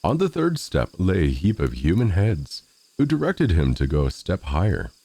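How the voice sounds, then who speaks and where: Male narrator for classic fairy tales, low and mellow, with very wide swings in pitch. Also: huge pitch swings, moderate pace, breathy edge. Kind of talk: scripted storybook narration.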